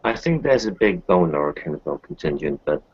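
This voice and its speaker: A man's voice: low-pitched, big pitch swings, normal speed, husky, muffled recording, heavily acted. A man playing a wry, casual, streetwise character in an improvised roleplay, blunt as he explains things.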